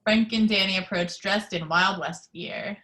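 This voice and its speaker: A woman reads stage directions at a table read in a mid-pitched voice. Her delivery is even and measured. She is heard over a video call. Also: poor audio. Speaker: narrator reading stage directions. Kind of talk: reading action description.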